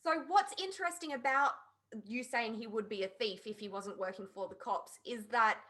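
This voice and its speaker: A woman's voice: a little high, moderate pace, fairly bright tone. A woman, sounding middle-aged, chatting casually as a podcast panelist.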